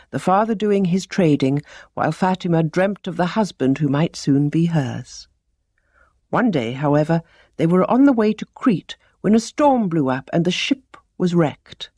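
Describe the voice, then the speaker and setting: A woman's voice: fairly low pitch, expressive, normal speed, a little mellow, slightly rough, no room sound. Woman storyteller in a dry, close recording. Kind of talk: scripted narration of teaching tales.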